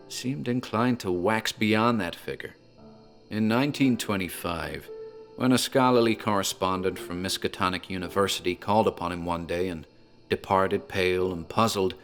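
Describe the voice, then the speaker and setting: A man playing a talkative rural tavern landlord, telling a dark local horror tale in a measured, dramatic way with pauses. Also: low voice. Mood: ominous storytelling.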